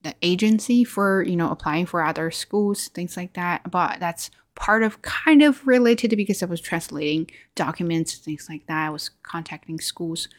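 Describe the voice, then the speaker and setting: Young woman, English, slightly low voice, thoughtful, restarts often, casual solo podcast. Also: moderate pace, few pauses. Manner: thoughtful, frequent restarts and self-corrections. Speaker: podcast host. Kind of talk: casual solo chat to listeners.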